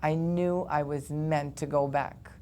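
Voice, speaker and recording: A young man from Ukraine, earnestly telling his personal story as an interview guest, with quick animated bursts. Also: fairly high pitch, slight background noise. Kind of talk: personal testimony in a studio interview.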